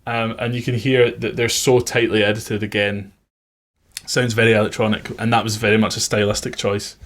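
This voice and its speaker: A man with a fairly low voice talks through a music production tutorial. He explains things informally and conversationally, like a producer showing how the work was done. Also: flat delivery, moderate pace, fairly bright tone.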